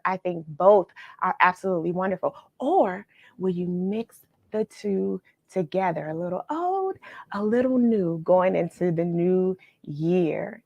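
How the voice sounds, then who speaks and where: A woman hosting a read-along, speaking in a measured, expressive storyteller way as she reads and chats to her audience. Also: mid-range voice, vocal fry, dry recording, highs cut off. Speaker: host of a read-along show. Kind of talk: expressive storybook reading and friendly live chat with viewers.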